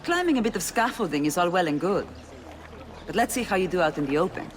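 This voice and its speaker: A woman playing a cocky, teasing young thief in a game cutscene, instructing briskly with an Italian accent. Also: fairly low pitch, animated, natural voice. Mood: brisk, confident. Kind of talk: video game cutscene acting. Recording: loud music behind.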